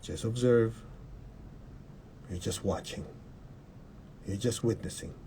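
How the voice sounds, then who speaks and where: Low, mellow man guiding a meditation. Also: a little hiss or hum. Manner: calm, measured, repeating short instructions. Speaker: meditation guide. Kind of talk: guided meditation.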